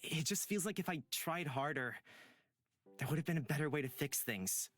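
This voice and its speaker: A man voicing a gentle, reassuring young traveler in a visual novel, soft-spoken and earnest. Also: on the high side, expressive, moderate pace. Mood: tender, reflective. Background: background music.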